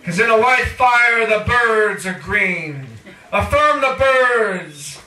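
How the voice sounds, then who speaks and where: A poet with a high, forceful voice reads his work at a very slow pace. The man leans into drawn-out, repeated phrases like an incantation. He is recorded in an echoey room, some way from the microphone. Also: animated, far from the mic. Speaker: featured poet at a reading. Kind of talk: live poetry recitation. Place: indoor cafe poetry reading.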